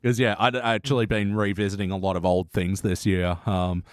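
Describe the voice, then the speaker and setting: A man's voice: fairly low pitch, flat delivery, brisk, smooth, dry recording, studio quality. A man hosting a podcast in casual chat, talking informally and enthusiastically with plenty of swearing. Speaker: podcast host.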